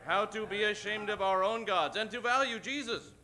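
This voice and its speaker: An actor playing a pompous, exasperated chief in an old-time radio western spoof, speaking in a stilted, weary way. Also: male voice, high-pitched, moderate pace, bright, forceful tone. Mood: deliberating.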